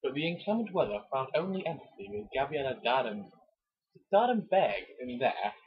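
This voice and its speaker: A man with a very husky, breath-roughened voice reads romance prose in a theatrical, mock-serious style. He often steps out of the narration to make jokey comments. The recording is dull and lo-fi. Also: mid-range voice, very animated, moderate pace. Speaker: amateur reader and commentator. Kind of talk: mock-dramatic reading of a romance novel with joking asides.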